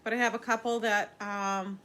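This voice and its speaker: A woman's voice: mid-range voice, little pitch movement, normal speed, fairly bright tone. An older woman reading a children's story aloud, explaining things simply as a teacher would to young listeners.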